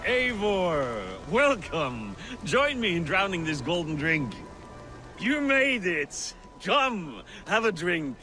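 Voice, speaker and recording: An actor playing a jovial yet wistful middle-aged Viking warlord in a video game, hard-drinking and hearty. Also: male voice, high-pitched, sing-song, slow, music in the background, full character voice. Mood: tipsy, jovial. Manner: hearty, reminiscing. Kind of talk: dramatic video game acting.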